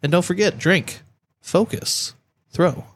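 A man's voice: high voice, slow, dry recording. A man co-hosting a podcast with playful, joking casual banter. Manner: jokey, playful. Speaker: podcast co-host.

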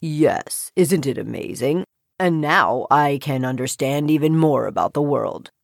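A woman voicing a calm, cheerful male talking frog with an unhurried manner in a children's story. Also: low voice, vocal fry, no room sound, studio quality, pitched below the performer's own voice, exaggerated voice. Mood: content.

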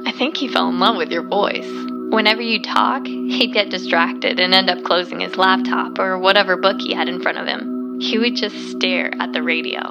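A woman's voice: mid-range voice, fairly even intonation, normal speed, gravelly, lo-fi sound, over loud music, close to an everyday voice. A woman playing a quirky, earnest waitress and devoted fan in an audio drama, chatty and gushing. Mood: earnest, enthusiastic.

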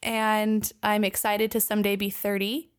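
A woman's voice: mid-range voice, flat delivery, moderate pace, clean tone. A woman in her late twenties chatting casually and playfully as a podcast co-host. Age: late 20s. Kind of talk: casual chat.

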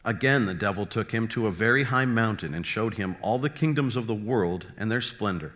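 Lively low-voiced man reading scripture. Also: brisk. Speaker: scripture reader.

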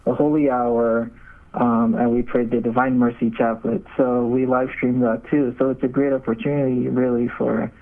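A man's voice: mid-range voice, flat delivery, normal speed, narrow, tinny audio. A young man gives casual, hesitant interview answers as a seminarian guest over a remote call. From Nigeria. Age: young adult.

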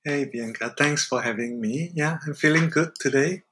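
A man's voice: a little high, normal speed, a bit bright, soft background music. A man from Singapore, an artist guest in a casual interview, speaking thoughtfully and hesitantly with many fillers.

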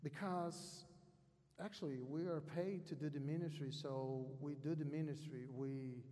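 Slow-speaking South American preacher in echoing hall. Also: male voice, on the high side, fairly even intonation, dark tone. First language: not English. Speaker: missionary preacher, guest speaker at a conference. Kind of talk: sermon. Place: large hall or church, speaking to an audience. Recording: long hall reverb over PA system.

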